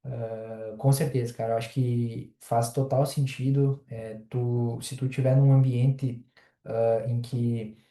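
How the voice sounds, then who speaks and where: A man chatting as a podcast guest, talking in a relaxed way with many pauses and filler sounds. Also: medium pitch, echoey room. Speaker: podcast guest, affiliate marketer. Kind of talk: casual podcast chat.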